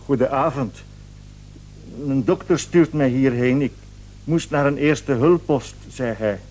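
An actor playing an anxious, hesitant patient who pleads and repeats himself in a Dutch radio play. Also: male voice, mid-range voice, unhurried, a little mellow, slight background noise, lightly acted, poor audio. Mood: hesitant, uneasy. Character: anxious, hesitant male patient with swollen face.

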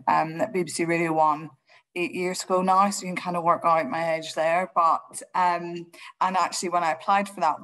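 A woman's voice: lowish voice, fairly bright tone. A woman in her thirties with a Northern Irish accent, speaking fluently and encouragingly as a panelist on a video call. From Northern Ireland. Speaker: radio panelist. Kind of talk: conversational answer on a panel.

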